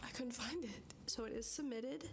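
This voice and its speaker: A woman's voice: on the high side, moderate pace, slight background noise. A young woman with a cold, sounding stuffed up, chatting casually and apologetically about her art business while talking into a phone as a microphone in her home studio. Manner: chatty, self-deprecating, apologetic. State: congested with a cold. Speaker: artist vlogger. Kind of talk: casual chat to camera.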